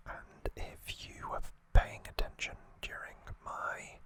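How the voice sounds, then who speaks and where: Asmr host doing a breathy whisper, bright and airy, soft unhurried chatty recap. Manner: soft, unhurried, conversational asides.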